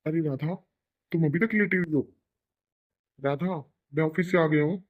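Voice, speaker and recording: Man playing a resentful husband, highish voice. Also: normal speed, narrow, tinny audio, close to an everyday voice. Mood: cold, irritated. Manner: reproachful, sarcastic. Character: cold, irritated young husband, resentful and sarcastic. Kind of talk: melodramatic Hindi cartoon voice acting.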